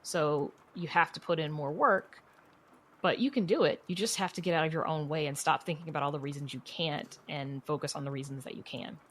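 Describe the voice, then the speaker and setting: A woman with a notably low voice talks casually as an interview guest. She gives advice in a candid, encouraging way, leaning often on "you know" as she goes. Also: brisk, fairly bright tone. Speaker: podcast guest, writer and producer.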